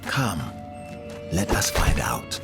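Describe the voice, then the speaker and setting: A man's voice: takes it slowly, close to an everyday voice. An actor playing a noble, solemn warrior king superhero with a formal, regal manner in a video game cutscene. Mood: regal, composed. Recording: music behind.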